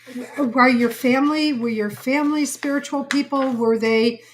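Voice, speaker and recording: Woman host, kinda high voice, eager, trips on her words. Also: moderate pace, a little hiss or hum. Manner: eager, stumbling over words. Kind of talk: interview.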